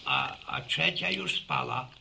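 A man's voice: mid-range voice, takes it slowly, very noisy. A man playing a wry, stubborn old village grandfather, homespun sayings and a slight stammer. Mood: folksy, teasing. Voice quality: creaky, gravelly. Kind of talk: Polish rural radio drama acting.

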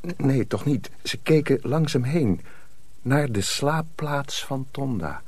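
A man narrating a dark folk tale for a radio drama, measured and solemn. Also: medium pitch, animated, husky, no echo. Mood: suspenseful. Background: music.

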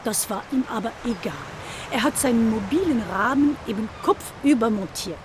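A woman acting as a chatty female tour guide in a radio play, speaking in a high voice. She talks casually and conversationally, as if showing visitors around, over faint ambient noise. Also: moderate pace, close to an everyday voice. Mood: chatty, anecdotal. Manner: conversational, informal, anecdotal.

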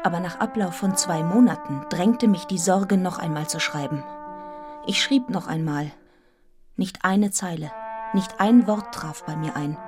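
An actress playing a serious, restrained young governess in a radio drama, telling her story in a measured, articulate, introspective way. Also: lowish voice, music in the background, close to an everyday voice. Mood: reflective, grave. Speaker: actor in a radio play. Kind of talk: literary radio drama narration and dialogue.

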